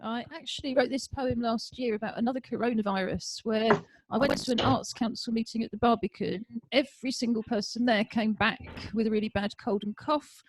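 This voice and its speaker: Bright-voiced woman reading rhyming verse. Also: fairly high pitch, steady tone, fairly quick, background noise. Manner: chatty, then rhythmic recital. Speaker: poet reading. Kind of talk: casual intro then rhyming poetry reading. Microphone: video call.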